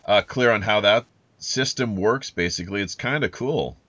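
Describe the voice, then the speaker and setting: Low-voiced man hosting a lo-fi podcast. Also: normal speed, a bit bright, no echo. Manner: rambling, folksy, playful pirate lingo. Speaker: podcast host. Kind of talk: unscripted pirate-themed podcast hosting.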